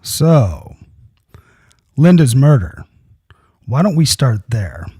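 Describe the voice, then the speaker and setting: A man's voice: medium pitch, very animated, slow pace, dark tone, husky, dry recording, clean studio sound, heavily acted. A man playing a probing investigator questioning a suspect in an audio drama. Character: Paul, a male questioner investigating a murder.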